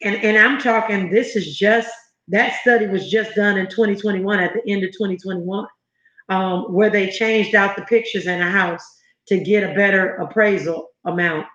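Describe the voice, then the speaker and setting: A woman's voice: medium pitch, steady tone, normal speed, a bit bright. Fifties woman from southern california, earnest guest, echoey room. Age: 50s. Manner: earnest, emphatic storytelling. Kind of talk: interview conversation. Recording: roomy, slightly muffled sound.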